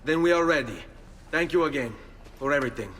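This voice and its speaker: A man's voice: on the high side, animated, fast talker, a bit bright, a little hiss or hum. Earnest young Italian nobleman. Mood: grave, gentle. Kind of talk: video game drama acting.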